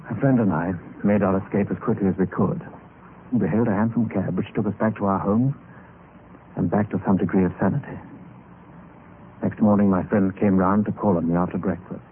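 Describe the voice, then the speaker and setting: A man acting as a grave gentleman narrator in an old radio mystery, telling the tale in a measured, dramatic way. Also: lowish voice, fairly even intonation, lightly acted. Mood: grave, suspenseful. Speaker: narrator and lead character. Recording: muffled, noisy old radio recording.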